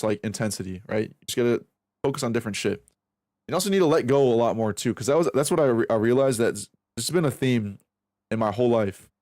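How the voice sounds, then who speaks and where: Young male streamer, mid pitch, loose and profane rambling, close dry sound. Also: a little mellow, dry recording. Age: 20s. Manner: rambling, profane, self-reflective, restarts a lot. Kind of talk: casual stream chat while aim training.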